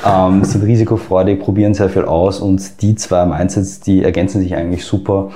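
A man's voice: low-pitched, little pitch movement, brisk, mellow. A man with an Austrian accent, a guest in an interview, explaining at length with frequent filler sounds. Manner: hesitant, many fillers. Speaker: guest expert.

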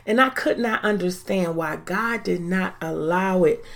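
A woman in her forties hosting a faith-based podcast, talking in an earnest, deliberate, reflective way. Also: on the low side, creaky. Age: 40s. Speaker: podcast host.

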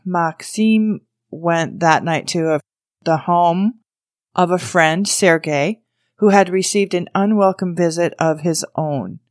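A woman's voice: lowish voice, no echo, studio quality. A woman podcast host reading a scripted introduction and news story aloud as narration.

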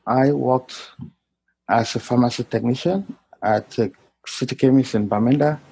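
A middle-aged man from Cameroon telling his life story in an interview, polite and unhurried. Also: mid-range voice, vocal fry, dark tone, slightly rough, no room sound, poor audio. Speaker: guest, clinical lab scientist. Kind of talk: casual interview.

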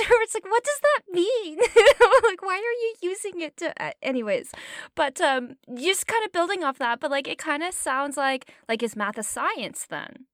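A high-voiced woman with a lively, swooping delivery asks questions in a casual podcast chat and laughs often. Her voice is recorded close and dry. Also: no echo. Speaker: podcast co-host, statistician.

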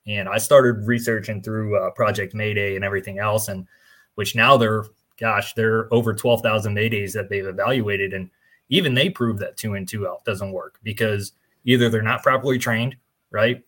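Low-voiced earnest firefighter instructor. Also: male voice, steady tone, moderate pace, a bit bright. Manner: earnest, analytical, agreeable. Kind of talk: casual chat.

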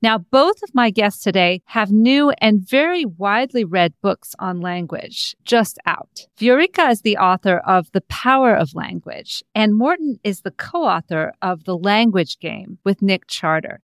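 A woman's voice: medium pitch, lively intonation, a little slow, few pauses, clear, resonant tone, dry recording, clean studio sound. A middle-aged woman hosting an interview podcast, reading a scripted introduction and then asking questions with enthusiasm. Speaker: podcast host.

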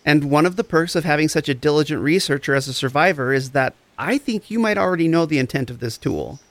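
An actor playing a gentle, encouraging empath therapist, speaking in a reassuring, deliberate way in a scripted audio drama. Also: male voice, on the high side, expressive, no room sound. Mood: tender, encouraging. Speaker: actor in a fiction podcast.

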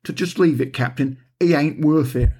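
A man's voice: a little high, moderate pace, a little mellow, breathy edge. A man voicing a grumbling, combative amateur football coach with a moaning, pouting manner in an audiobook story. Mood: scornful warning.

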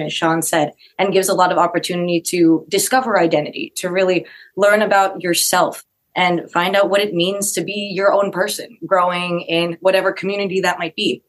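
A music director speaking earnestly and with feeling as an interview guest about a theater production. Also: medium pitch. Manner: earnest, heartfelt.

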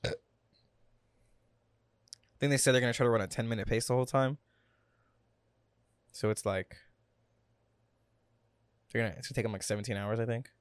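A young man co-hosting a podcast in casual chat, with relaxed slangy talk from a small home studio. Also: medium pitch, moderate pace, pauses often, clear, resonant tone, no room sound. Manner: laid-back slangy talk, drifts louder when excited. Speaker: podcast co-host.

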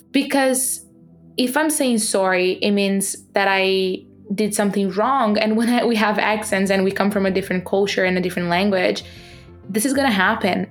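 A young adult woman with a non-native accent, a podcast host, speaking earnestly and passionately about her project, with frequent fillers and restarts. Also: mid-range voice. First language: not English.

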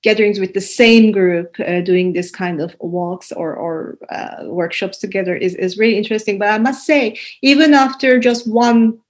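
A woman hosts a casual interview, her pitch sitting in the ordinary middle range. She hesitates often and fills her pauses with uhs and ums as she works toward each question. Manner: hesitant, many uh fillers.

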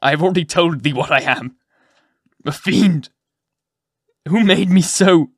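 A man's voice: mid-range voice, a little slow, dry recording, clean studio sound, lightly acted. Man playing a bitter old vengeful physician. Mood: fierce, demanding. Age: older. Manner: archaic, solemn, theatrical delivery.

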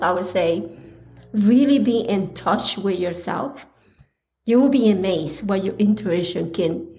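Slow-talking, slightly hoarse woman host. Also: mid-range voice, a little mellow. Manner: slow, earnest, with pauses and restarts. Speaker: podcast host. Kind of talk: casual solo podcast talk.

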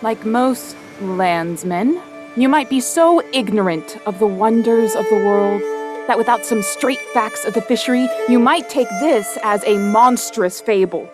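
Seafaring narrator played by a woman, fairly high voice, grave and wry, literary audio drama with faint music beneath. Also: a little slow, natural voice. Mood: lecturing, wry.

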